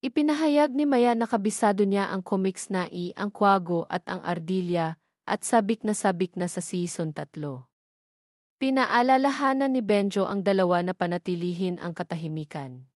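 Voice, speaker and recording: A woman narrates a scripted audio story. Her voice sits at an average pitch and her delivery is measured and clearly read out. The recording is dry, with little room sound. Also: animated, a little mellow, no room sound.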